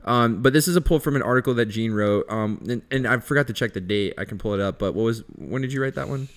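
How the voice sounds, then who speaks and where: A man's voice: mid-range voice, quick pace. Upbeat slangy male podcast host. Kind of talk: casual conversation.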